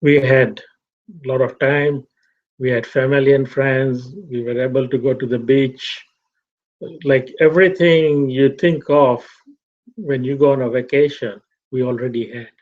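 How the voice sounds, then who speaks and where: A middle-aged man from Tanzania giving a reflective talk to a fellowship, measured and repetitive. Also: mid-range voice, fairly even intonation, darkish tone. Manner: slow, measured, repeats phrases. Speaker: fellowship speaker. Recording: dull lo-fi recording.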